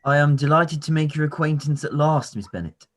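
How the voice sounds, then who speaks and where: A man playing a genial, courteous Regency gentleman officer in a radio play, affable and courtly. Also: a little high, a little fast, a little hiss or hum, lightly acted. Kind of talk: radio play live read.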